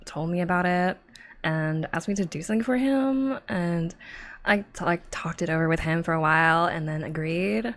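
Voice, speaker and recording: Woman playing storybook snake, Valley Girl accent, chatty, playful, drawling, filler-heavy, fry-laden. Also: mid-range voice, close to an everyday voice.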